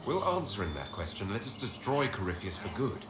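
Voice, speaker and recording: A man's voice: lowish voice, sing-song, a little fast, noisy background. An actor playing an earnest, skeptical male hero in a video game, direct and dryly wry. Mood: questioning, dry. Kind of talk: video game dialogue acting. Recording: echoey game audio under music.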